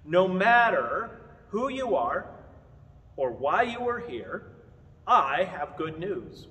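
An older man preaching an Easter sermon from a lectern in a large church, earnest and measured. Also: high-pitched, sing-song, pushed and bright. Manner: measured, earnest preaching with open-hand gestures. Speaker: pastor. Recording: long reverb of a big church hall.

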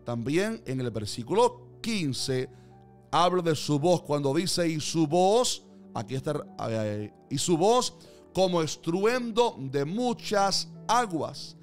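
Male preacher for a Bible sermon, slightly high-pitched, earnest and declamatory, with faint music underneath. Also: sing-song, slow. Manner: emphatic, repetitive phrasing in building lists.

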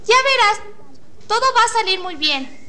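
Woman as young hospital nurse, bright voice, brisk, reassuring, amateur radio drama. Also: very high voice, animated, heavily acted. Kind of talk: student radio drama acting. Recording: faint noise, amateur radio drama recording.

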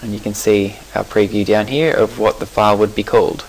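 A man talking through a software settings screen in a relaxed, explanatory way, pausing with fillers and correcting himself. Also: lowish voice, slight background noise, rough recording. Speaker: software tutorial presenter.

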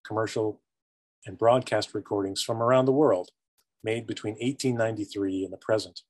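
A man's voice: lowish voice, breathy edge, no room sound, low-quality recording. A man in his fifties reading a formal prepared introduction of a speaker over a video call. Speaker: archive director introducing a speaker. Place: indoor office with bookshelves. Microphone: video call, computer microphone.

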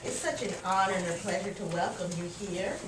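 A woman's voice: mid-range voice, fairly quick, over a noisy crowd. A woman, a college provost, giving short, gracious formal welcome remarks to a class and its guest. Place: small classroom. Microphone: far camera. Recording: echoey room from far off, noisy.

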